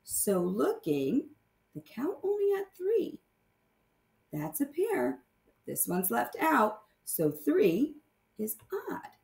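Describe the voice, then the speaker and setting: Animated woman teacher in a roomy space. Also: high-pitched, takes it slowly, gravelly. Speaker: primary math teacher. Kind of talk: read-aloud math lesson for young children.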